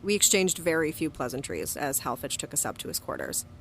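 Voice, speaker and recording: A woman's voice: low voice, very animated, a little fast, creaky, slight background noise, exaggerated voice. An actress playing a guarded, defiant young woman in an audio drama, terse and dry in both narration and dialogue. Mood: brooding narration. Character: defiant, guarded young female monster hunter, narrating her story.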